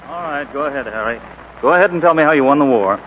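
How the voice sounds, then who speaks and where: A man's voice: medium pitch, lively intonation, fast talker, close to an everyday voice. An actor playing a breezy, cocksure advertising man in 1940s radio drama, glib and familiar, bossing his pal along. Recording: tinny, faintly noisy old radio recording.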